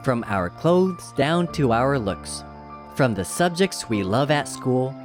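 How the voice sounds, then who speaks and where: A storybook reader giving scripted children's narration in an expressive, measured rhyming rhythm. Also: mid-range voice. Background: background music.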